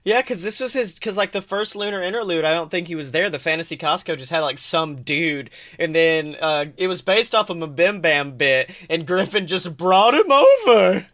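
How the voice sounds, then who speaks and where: A woman's voice: lowish voice, lively intonation, moderate pace, few pauses, fairly bright tone. A woman co-hosting a podcast, chatting casually as a fan and swearing freely. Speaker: podcast co-host.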